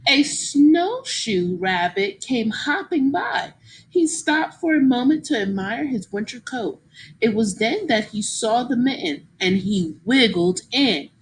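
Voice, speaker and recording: A woman reading a children's story aloud in an expressive, encouraging teacher's manner, pausing to explain and ask her young listeners questions. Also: high voice, a little hiss or hum, poor audio.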